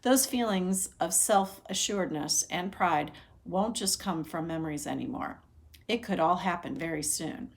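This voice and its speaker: A woman reading a novel aloud like a teacher, narrating for young listeners in an animated way and acting out the dialogue. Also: on the low side, moderate pace, gravelly. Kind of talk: expressive children's read-aloud narration.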